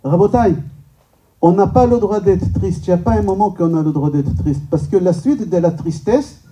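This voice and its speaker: Rabbi guy, native hebrew speaker, really sharp forceful voice, jokey lecture full of questions, mic far off in a room. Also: high voice, moderate pace. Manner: rhetorical questions, emphatic repetition, joking. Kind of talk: informal religious lecture to a live audience. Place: medium-sized indoor synagogue function room.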